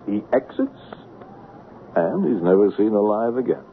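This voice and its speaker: An actor playing a smug, persuasive American swindler in a radio drama, coaxing in a conversational way. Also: male voice, on the low side, very animated, moderate pace. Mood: smug, cold. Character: fast-talking male swindler, smug, persuasive schemer. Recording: tinny, noisy old radio broadcast.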